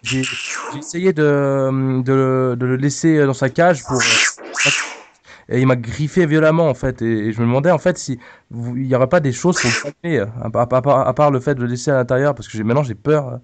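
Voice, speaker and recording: A man's voice: medium pitch, a little fast, natural voice. Man playing an anxious, stammering cat owner, noisy line. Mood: anxious, polite. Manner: hesitant, stammering, repeats himself. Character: anxious, bumbling cat owner phoning the vet for help. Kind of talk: prank phone call. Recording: noisy phone prank recording.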